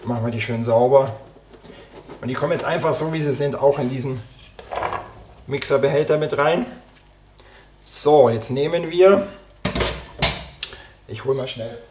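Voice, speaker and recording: Casual podcast host, mid-pitched man, a bit hoarse from a cold, home kitchen with clear clatter of pans. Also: measured pace, pauses often, noisy background. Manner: relaxed, talks while chopping and cooking. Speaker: podcast host cooking a recipe. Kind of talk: casual cooking podcast chat. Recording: kitchen clatter and clicks behind. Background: dishes, pots and pans clattering.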